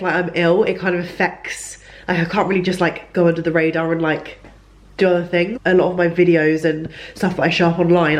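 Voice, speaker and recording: Chatty young woman with a stuffy nasal voice. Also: lowish voice. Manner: chatty, gushing, lots of fillers. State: congested, ill, nasal voice. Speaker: vlogger. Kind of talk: casual vlog chat.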